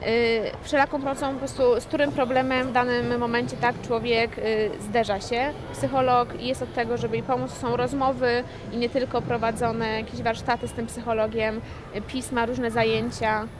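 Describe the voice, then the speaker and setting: Flat-voiced young woman in a mall. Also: fairly high pitch, normal speed. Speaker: social worker. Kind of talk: interview answers. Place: shopping mall seating area, indoors. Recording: mall noise behind.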